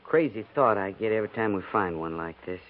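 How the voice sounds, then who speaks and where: An actor playing a dutiful, grim cavalry sergeant in a 1950s radio western, plain-spoken, folksy and respectful. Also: male voice, fairly low pitch, animated, brisk, close to an everyday voice. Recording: noisy, tinny 1950s radio recording.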